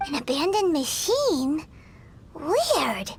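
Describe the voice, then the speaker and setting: A woman voicing a small, chirpy fairy companion girl in a video game, excitable and talking about herself in the third person. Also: high voice, slow pace, slight background noise, exaggerated voice. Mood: puzzled. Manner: chirpy, exclaiming, refers to self in third person. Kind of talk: video game character acting. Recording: music playing behind the voice.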